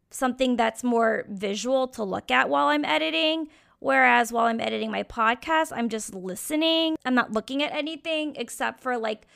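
A woman's voice: on the high side, gravelly, fairly bright tone. A young woman hosting her own podcast, talking candidly in a casual solo monologue full of "like" fillers. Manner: candid, frank, frequent "like" fillers. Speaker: podcast host.

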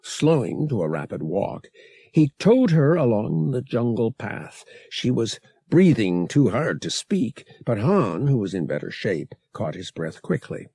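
A man's voice: medium pitch, sing-song, a little slow, warm tone, husky, no echo, clean studio sound. A man reading an adventure novel aloud as dramatic scripted narration. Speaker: audiobook reader.

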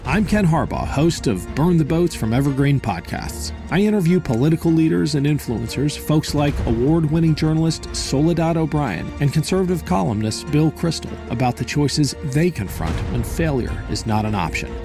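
A man's voice: on the high side, animated, normal speed, vocal fry, hardly any pauses, mellow. A man hosting an interview podcast, reading a scripted promotional spot. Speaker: podcast host. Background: music bed.

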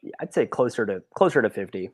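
A man's voice: fairly low pitch, animated, fast talker, slightly rough, no echo, studio quality. A man co-hosting a casual sports podcast, talking in a laid-back, understated way.

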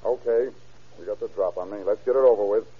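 An actor playing a firm, unflappable police officer with a clipped, dry, authoritative manner in an old radio crime drama. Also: male voice, on the low side, fast, a little hiss or hum, natural voice. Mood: dry, calm. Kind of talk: 1930s-40s radio crime drama acting. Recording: tinny, band-limited old radio broadcast.

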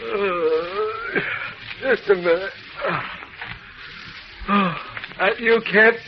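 An actor playing an easygoing, good-humored private detective in a 1940s radio drama, with a joking, disbelieving manner. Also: male voice, high-pitched, extremely slow, background noise, full character voice. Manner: jokey, disbelieving. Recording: dull lo-fi old radio recording.

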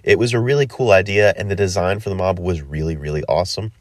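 A man's voice: deep voice, fast, no echo, studio quality. A man hosting a solo podcast in a casual, rambling way with many ums and uhs, sounding stuffy from a cold. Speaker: podcast host.

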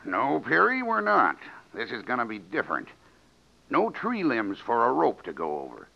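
An actor playing a sadistic, swaggering cowboy villain in an old radio Western, with a gravelly, gloating, folksy drawl. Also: male voice, mid-range voice, wildly swooping pitch, moderate pace, heavily acted. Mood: cruel gloating. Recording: dull lo-fi vintage radio recording.

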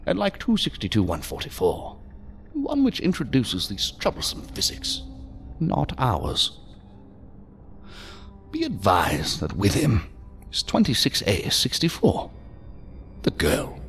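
An actor playing a condescending, ornately courteous ambassador in a science fiction audio drama, with deliberate, mocking phrasing. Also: male voice, medium pitch, wildly swooping pitch, fairly bright tone, soft background music, heavily acted. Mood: commanding, formal. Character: theatrical, silky, condescending ambassador of a secret power.

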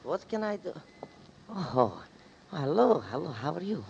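A chatty old shopkeeper with a gravelly, lively voice that wanders up and down as he talks. The recording is dull and lo-fi with a faint hiss, like a 1940s radio broadcast. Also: a little high, measured pace, heavily acted. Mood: friendly. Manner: friendly, rambling, easygoing. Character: kindly old male shopkeeper, chatty and friendly. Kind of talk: 1940s radio drama acting.

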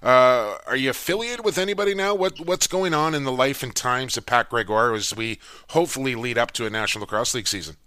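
A man's voice: medium pitch, fast talker, few pauses, pushed and bright, clear, resonant tone, no echo. A man hosting a sports talk show, chatting casually and teasing his guest. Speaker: podcast host.

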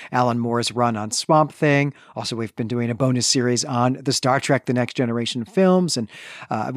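A man co-hosting a podcast, explaining his points in a measured, articulate and conversational way. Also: medium pitch, no echo, studio quality. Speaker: podcast co-host. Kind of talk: conversational literary analysis.

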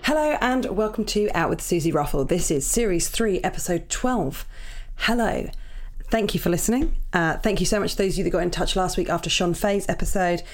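An Irish woman hosting her podcast in a casual, chatty way, her voice croaky from early morning. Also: lowish voice, a little fast. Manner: chatty, apologetic, upbeat. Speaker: podcast host. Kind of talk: casual chat.

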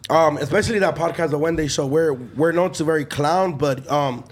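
A man's voice: fairly high pitch, fairly quick. A man hosting a podcast interview, talking loosely with frequent swearing and restarts. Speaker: podcast host. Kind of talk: casual interview chat.

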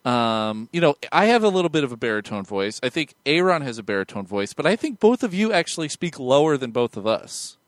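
A man's voice: medium pitch, very animated, brisk, few pauses, no room sound. A young man hosting a casual podcast, joking and laughing often with his guests. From United States. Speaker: podcast host.

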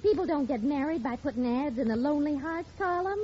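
An exasperated, sensible wife in a vintage radio comedy. Her high voice moves animatedly as she chides, on a muffled, faintly noisy recording. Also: animated, fairly quick, warm tone. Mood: exasperated, disapproving. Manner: chiding, incredulous. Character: exasperated, sensible, scolding housewife. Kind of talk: 1940s radio comedy acting.

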